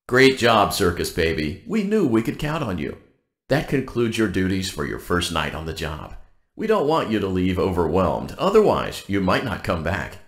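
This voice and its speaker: A man playing a blandly polite, cheerful robotic workplace guide in a game, reading scripted announcements with upbeat corporate formality and deadpan delivery. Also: lowish voice, reverberant. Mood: politely encouraging.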